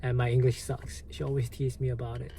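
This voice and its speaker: A man with a Korean accent, a native Korean speaker, hosting a livestream and answering viewer comments in a halting, hesitant way with lots of fillers. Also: mid-range voice, flat delivery, dark tone, slight background noise. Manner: slow, halting, many fillers and restarts. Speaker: livestream host.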